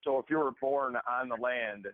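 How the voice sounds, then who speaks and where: A man's voice: mid-range voice, moderate pace, a little hiss or hum. A man politely and agreeably asking questions as a participant on a conference call. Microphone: telephone. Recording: dry, narrow phone conference line.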